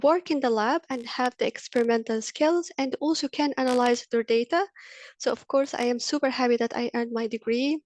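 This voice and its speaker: A woman in her thirties from Egypt gives an earnest talk in a webinar, pausing often on ums and uhs. Her voice sits a little high and sounds close and dry. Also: darkish tone, no room sound. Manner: earnest, with frequent fillers. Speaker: university lecturer. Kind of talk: webinar presentation. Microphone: video call.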